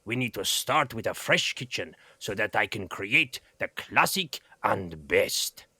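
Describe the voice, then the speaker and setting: A self-important, brusque head chef acted by a man for a children's audio play. The voice is husky and gravelly with a bright, forceful edge. It swings animatedly up and down as he gives orders. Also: fairly low pitch, big pitch swings, measured pace, no room sound, exaggerated voice. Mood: boastful. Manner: pompous, brusque. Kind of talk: children's audio drama acting.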